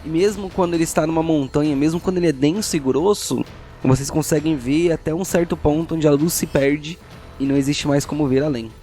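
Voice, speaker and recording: A Brazilian man with a slightly high voice acts as game master. He narrates a fantasy tabletop scene in measured, descriptive phrases with deliberate pauses. Soft music plays behind him.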